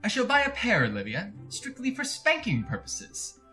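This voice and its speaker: A man's voice: very high voice, huge pitch swings, normal speed, bright, forceful tone, faint music behind, exaggerated voice. An actor playing a chatty, over-polite, creepy encyclopedia salesman who bullies his dog, in a retro radio drama monologue. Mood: needling, mock-sweet. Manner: fussy, over-polite, wordy, theatrical.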